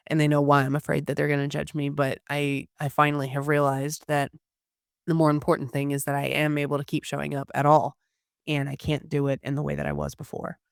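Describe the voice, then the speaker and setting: Hoarse woman, flat candid update. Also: low-pitched, no room sound, studio quality. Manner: candid, hesitant with restarts. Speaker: podcast host. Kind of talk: casual personal update.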